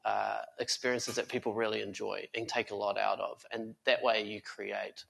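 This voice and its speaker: A man's voice: on the low side, little pitch movement, normal speed, vocal fry, a bit bright, rough recording. A man speaking as a guest on a conference panel, earnest and full of fillers and restarts. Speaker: panel guest, tech company founder. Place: conference stage before an audience.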